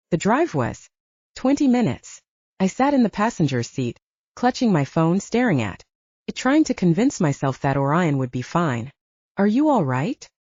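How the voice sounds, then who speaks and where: A woman's voice: fairly low pitch, darkish tone, no echo. A woman reading scripted first-person fiction as an audiobook narrator. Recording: dull, slightly muffled dry recording.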